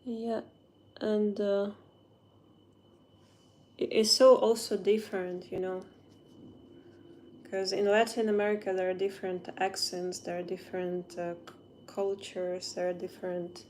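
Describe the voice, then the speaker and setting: A young woman from Ukraine with a Ukrainian accent, chatting casually in English on a phone livestream and often pausing to search for words. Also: medium pitch, little pitch movement, a little mellow, slight background noise. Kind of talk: casual livestream chat while doing makeup.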